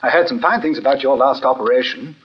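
Guy playing easygoing raspy doctor colleague, discreet, old radio drama, dull sound. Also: mid-range voice, fast, close to an everyday voice. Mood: affable, casual. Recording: dull vintage radio recording.

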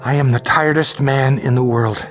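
An actor playing an exhausted, frightened patient in his thirties in an audio drama, weary and halting. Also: medium pitch, steady tone, soft background music, lightly acted. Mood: weary, resigned. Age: 30s. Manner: hesitant, halting. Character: exhausted, frightened, agitated thirty-five-year-old male psychiatric patient.

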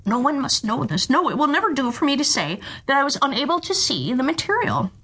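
A woman's voice: sits high, big pitch swings, a little fast, fairly bright tone, voice pushed up, exaggerated voice. A woman voicing an anxious, easily flustered old male minister in a fairy tale, with a fretful, exclamatory delivery.

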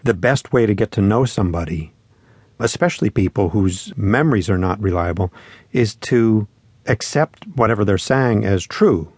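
A man's voice: on the low side, expressive, moderate pace, a little mellow, slightly rough, no echo. A man talking in a reflective, conversational storytelling way as a musician being interviewed, acting out quotes as he goes. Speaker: musician and spoken-word performer being interviewed.

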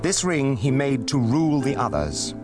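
A man narrating a fantasy radio drama as a grave, measured storyteller of ancient history. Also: mid-range voice, big pitch swings, natural voice. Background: loud music.

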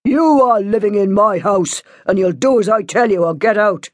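A woman voicing a curt, domineering middle-aged father with a sharp, bossy tone in an audiobook story. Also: on the low side, normal speed, no echo, clean studio sound, voice pushed up, close to an everyday voice. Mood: angry, scornful.

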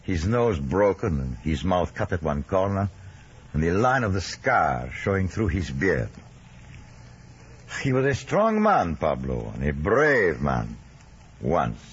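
Very deep, dramatic male narrator. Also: very animated, slow pace, husky. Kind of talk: dramatic scripted narration of a radio play. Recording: muffled old radio recording, faint noise.

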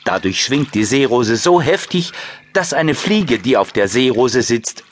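A man's voice: medium pitch, expressive, a little slow, slight background noise. A man reading scripted narration for a children's audiobook.

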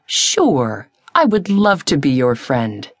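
Woman putting on a gentle low grasshopper. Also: normal speed, slightly rough, dry recording, clean studio sound, full character voice. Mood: reassuring. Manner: low, calm and reassuring. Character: kind gentle male grasshopper.

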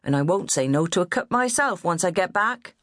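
A woman voicing a calm, sensible old countryman who firmly settles an argument. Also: lowish voice, brisk, no echo, clean studio sound, voice pushed up.

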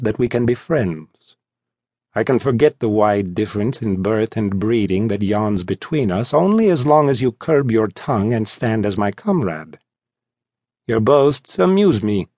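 A man voicing a refined, languid young nobleman in an audiobook, haughty and bored, speaking formally and with measured disdain. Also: fairly low pitch, a little mellow, dry recording. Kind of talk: audiobook character voice.

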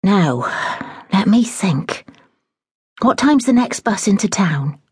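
A woman's voice: medium pitch, big pitch swings, takes it slowly, a little mellow, breathy edge, dry recording, studio quality, full character voice. A woman voicing a flustered, bossy middle-aged housekeeper, blunt and dramatic in manner.

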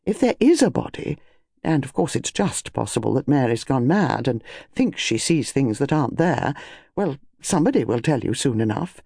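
A man voicing an excitable, firm and insistent upper-class English wife in an audiobook story. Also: medium pitch, normal speed, creaky, husky, no echo, studio quality, heavily acted. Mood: firm, commanding.

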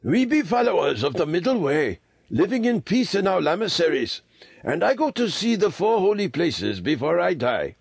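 A man voicing an old Tibetan lama, a gentle, earnest and humble wanderer in a storybook reading. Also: sits high, very animated, normal speed, gravelly, husky, dry recording, voice pushed up, full character voice. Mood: patient, earnest.

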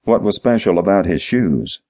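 A man voicing a weary, sarcastic police detective who questions deliberately and impatiently in a crime audiobook. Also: deep voice, expressive, mellow. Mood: exasperated.

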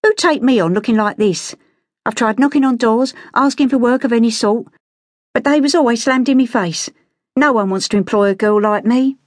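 Narrator acting a ragged Victorian girl beggar, high voice, guarded, streetwise, defiant, storybook reading. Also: normal speed, dry recording, clean studio sound, lightly acted. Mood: angry, defiant. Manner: streetwise, uneducated speech, guarded and cheeky. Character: poor, wary, defiant sixteen-year-old London beggar girl.

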